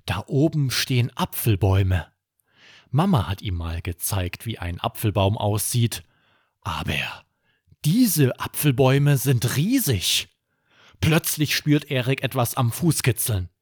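Male podcast storyteller for children's bedtime tales; unhurried, very animated, dry recording. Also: mid-range voice, husky, dry recording. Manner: expressive, dramatic storytelling. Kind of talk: scripted children's bedtime narration.

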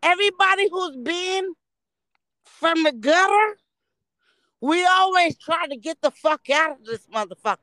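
A woman in her late thirties from the Bay Area with a very high, bright voice and an unhurried delivery. She talks in a loud, blunt, profane way, and the recording is dry and close. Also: lively intonation, no echo. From San Francisco Bay Area, California. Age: 30s. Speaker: podcast guest. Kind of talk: casual chat.

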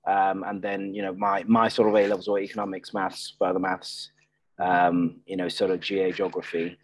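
A man's voice: sits low, flat delivery, normal speed, dry recording, poor audio. A man guest in a casual property podcast interview, talking with frequent fillers and restarts. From Nigeria, Ireland and the UK. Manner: hesitant, many restarts and fillers.

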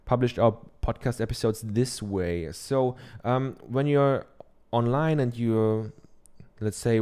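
A man's voice: mid-range voice, warm tone, smooth. A man from Germany with a German accent, giving a casual how-to talk from his office into a dynamic studio microphone, chatty and loose, with many ums and tag questions. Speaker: podcaster. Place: treated home office.

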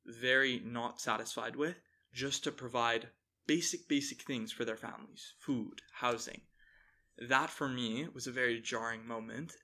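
Young guy on a podcast, bright clear-cut tone, earnest, picks his words carefully. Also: mid-range voice. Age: young adult. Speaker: podcast guest, founder of a youth employment initiative. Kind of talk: measured interview storytelling.

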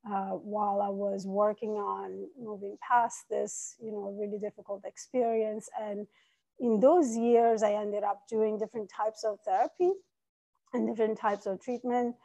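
Gravelly, mellow woman telling her life story. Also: mid-range voice, little pitch movement, moderate pace, rough recording. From Iran and Italy. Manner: earnest, reflective, soft-spoken. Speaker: coach, podcast guest. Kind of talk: personal storytelling in interview.

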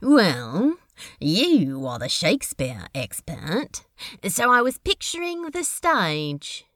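A woman's voice: medium pitch, very animated, slow pace, a bit bright, no echo, studio quality, full character voice. A woman voicing a smitten, wry male comedian with a teasing drawl, in a fan fiction audio drama. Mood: fond. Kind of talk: fan fiction podfic character acting.

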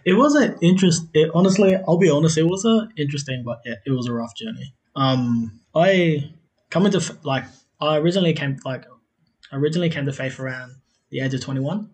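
A man's voice: on the high side, a little fast, a little mellow. A man in his twenties with an Australian accent, telling his life story as a podcast guest in a loose, rambling way, with lots of fillers and frequent laughs. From South Australia. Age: 20s. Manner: rambling, repeats himself, many "like" fillers, laughs often. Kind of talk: casual interview storytelling.

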